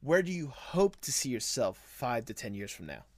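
A man's voice: mid-range voice, sing-song, moderate pace, a crowd in the background. A man hosting an interview in a casual, encouraging and complimentary way. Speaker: interview host. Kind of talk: casual chat.

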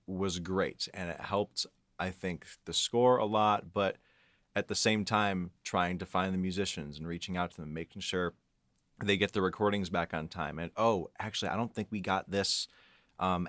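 A man's voice: sits low, fairly bright tone, no echo. A man on an audio production team, explaining his work in a casual discussion. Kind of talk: casual behind-the-scenes discussion.

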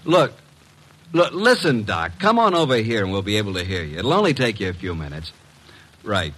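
An actor playing a brash, slangy detective's assistant and narrator, breezy and cheeky, in old radio drama style. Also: male voice, on the low side, big pitch swings, a little fast, fairly bright tone. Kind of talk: 1940s-50s radio drama acting. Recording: noisy old radio broadcast.

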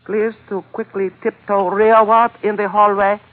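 A tinny old radio recording carries a man playing a smiling henchman, pitched high. He speaks in ornate, over-polite phrases, courteous and menacing at once, in classic 1940s radio-play style. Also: flat delivery, normal speed, lightly acted. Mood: smooth, courteous menace. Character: smiling, flowery-spoken male henchman with a gun.

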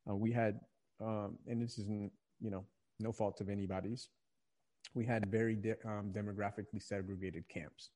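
A man's voice: on the low side, flat delivery, normal speed, mellow. A young man from Virginia, speaking as a guest in a conversational podcast interview, earnest and reflective, often restarting phrases as he searches for words. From Virginia, USA. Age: young adult. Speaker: podcast guest, young adult ministry leader.

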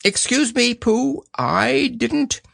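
A man's voice: very high voice, slow pace, vocal fry, fairly bright tone, no echo, studio quality, pitched above the performer's own voice, heavily acted. A man voicing a pompous, wordy, self-important owl in a storybook reading. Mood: indignant, insistent. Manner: pompous, long-winded. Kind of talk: storybook character voice.

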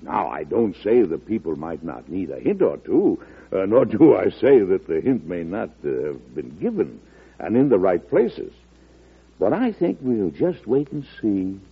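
Husky, animated old statesman. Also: sits low, heavily acted. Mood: sly, amused. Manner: avuncular, emphatic, chuckling, deliberate. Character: folksy, shrewd old male president, genial political string-puller. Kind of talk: old-time American radio drama acting. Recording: muffled old radio broadcast recording.

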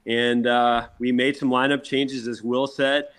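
A man with a mid-pitched voice speaks as a musician being interviewed. He tells his story casually but emphatically, with a proud, salesmanlike push behind his points. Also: flat delivery, measured pace, a bit bright, clear, resonant tone. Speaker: rock band member being interviewed.

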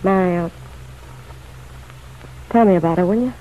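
A woman's voice: fairly low pitch, a little slow, lightly acted. An actress playing a bitter, pleading, lovelorn city woman in a vintage radio drama, blunt, slangy and insistent. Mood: wistful, probing. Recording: dull, noisy old radio recording.

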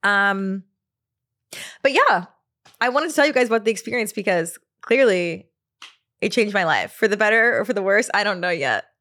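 A woman's voice: mid-range voice, expressive, moderate pace, long pauses, fairly bright tone. Chatty woman in her thirties. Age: 30s. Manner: chatty, laughs often, trails off with "like" and "um". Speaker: podcast host. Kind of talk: casual solo podcast chat.

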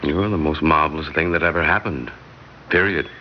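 An actor playing a smitten, smooth, reassuring married man in a secret affair, in old radio-drama style. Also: brisk. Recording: muffled old radio recording, heavy rumbling noise.

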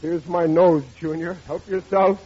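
An actor playing a blundering, excitable middle-aged working-class American father in a 1940s radio comedy. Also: fairly high pitch, measured pace, husky. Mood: guilty, wretched. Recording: muffled, noisy old radio recording.

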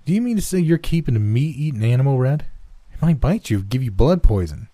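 A man's voice: medium pitch, sing-song, fairly quick, dark tone, dry recording, voice pushed up. A man voicing a protective, worried mother in a story, stepping in with assertive concern. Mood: alarmed. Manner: concerned, intervening.

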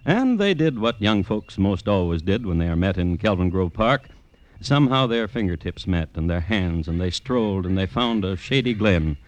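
A man narrates a radio drama with wry timing. His voice sits low but moves through a wide range, which keeps the telling animated. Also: normal speed, muffled recording. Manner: wry storyteller. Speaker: narrator and host. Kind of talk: scripted narration.

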